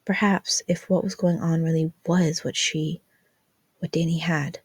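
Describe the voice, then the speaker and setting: A woman's voice: low voice, little pitch movement, measured pace, slightly rough, no echo. A woman reading fan fiction aloud as scripted, dramatic story narration.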